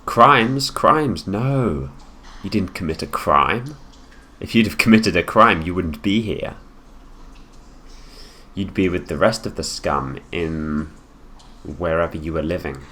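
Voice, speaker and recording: A man voicing a haughty, possessive prince in an improvised ASMR roleplay, speaking to the listener with condescension and deliberate pauses. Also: low voice, sharp tone, husky, full character voice. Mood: amused, haughty. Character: haughty possessive young prince, heir to the throne, coolly menacing.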